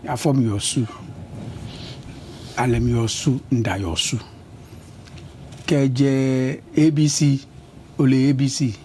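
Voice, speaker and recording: Ghanaian man with a mellow voice. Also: mid-range voice, slow pace, pauses often, a little hiss or hum. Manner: switches between English and Ga, lists places at an even pace. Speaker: interview guest, politician. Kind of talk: conversational interview.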